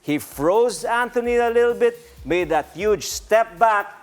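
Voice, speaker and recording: A middle-aged man, a coach explaining basketball plays in a deliberate, emphatic teaching manner in a TV studio. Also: sits high, animated. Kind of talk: explanatory play breakdown.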